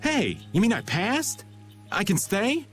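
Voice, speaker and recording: A man's voice: sits high, huge pitch swings, slow, faint music behind, full character voice. A man playing a teasing, easygoing middle-aged adventurer in a video game, with a folksy, playful drawl. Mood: uneasy, surprised. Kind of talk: video game dub acting.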